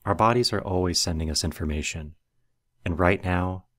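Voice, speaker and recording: Low-pitched man guiding a meditation. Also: a bit bright. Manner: measured, instructional. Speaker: meditation guide. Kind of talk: guided meditation, scripted narration.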